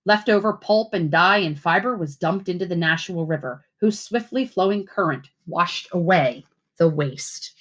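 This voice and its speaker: A woman reading a children's picture book aloud like a teacher, with clear phrasing and expressive, instructive delivery. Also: lowish voice, moderate pace. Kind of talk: storybook read-aloud for children.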